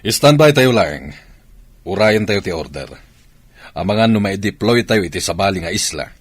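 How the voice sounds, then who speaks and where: A man's voice: lowish voice, lively intonation, moderate pace, slightly rough, dry recording. A man acting a military commander and family man in an Ilocano radio drama. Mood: stern, commanding. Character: military camp commander, a husband and father.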